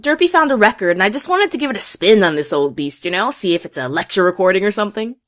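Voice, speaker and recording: A young female unicorn character acted by a woman with a medium-pitched voice. She is bookish and eager, explaining with theatrical enthusiasm as in a cartoon audio drama. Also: lively intonation, fast, lightly acted. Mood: persuasive, upbeat. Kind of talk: fan audio drama acting.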